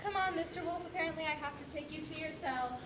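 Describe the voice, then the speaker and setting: A woman's voice: fast talker, close to an everyday voice. A young woman playing a cheeky, laid-back court bailiff in a stage comedy, casual, whiny and grudging. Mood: grudging, loud. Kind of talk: amateur school stage comedy. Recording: distant, echoey stage with rumble.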